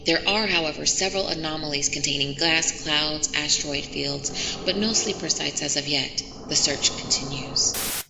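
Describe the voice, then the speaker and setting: A woman's voice: deep voice, moderate pace, creaky. A woman playing an earnest field reporter recording log reports aboard a starship in a sci-fi audio drama. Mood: measured, earnest. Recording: echoey hall with noisy ship ambience.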